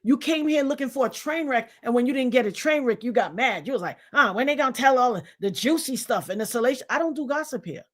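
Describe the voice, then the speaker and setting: A woman's voice: sits high, fast, hardly any pauses. Woman, 50s, channel host, casual and preachy, lectures her audience directly. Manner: animated, preachy, addresses viewers as y'all. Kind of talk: casual talk to camera.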